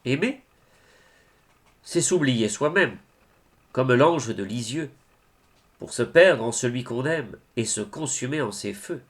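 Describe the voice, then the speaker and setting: A man with a mid-pitched voice recites devotional verse very slowly. His delivery is solemn and declamatory, with wide rises and falls in pitch and deliberate pauses between phrases. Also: expressive. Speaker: reader of devotional poetry.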